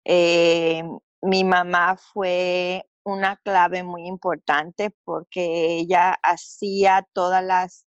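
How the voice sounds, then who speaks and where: A woman's voice: lowish voice, no room sound, dull audio. A middle-aged woman from Mexico with a Mexican Spanish accent, telling her story earnestly as an interview guest, with fillers and restarts.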